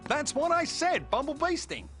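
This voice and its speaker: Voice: high-pitched, very animated, normal speed, over music. An actor playing a dim, cocky fairy-tale police detective in a cartoon, blunt and bumbling. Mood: blustering. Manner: muddles words, blunt.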